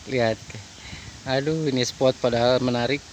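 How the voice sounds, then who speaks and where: A man talking excitedly to viewers while catching eels outdoors. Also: medium pitch, normal speed, poor audio. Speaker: fishing vlogger. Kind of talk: casual outdoor chat. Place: outdoors by rice paddy ditch. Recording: outdoor ambience, faint noise.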